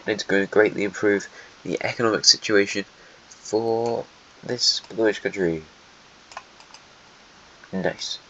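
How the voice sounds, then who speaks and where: A man's voice: lowish voice, a bit bright. A man with a stuffy, snotty voice giving rambling, sarcastic commentary over a map simulation. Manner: rambling, sarcastic asides to countries. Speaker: host narrating a map simulation. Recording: dull lo-fi mic, faint hiss.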